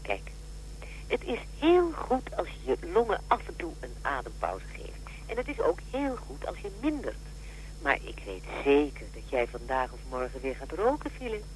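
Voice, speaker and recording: An actress playing a brisk, matter-of-fact and drily blunt helpline operator in a radio drama. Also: female voice, low voice, huge pitch swings, a little mellow, slight background noise, low-quality recording. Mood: knowing.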